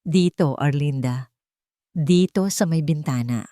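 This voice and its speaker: A woman voicing a gentle, melancholy wealthy widower, speaking softly in an audio romance. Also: deep voice, unhurried, no room sound, studio quality, voice pushed down. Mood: calm.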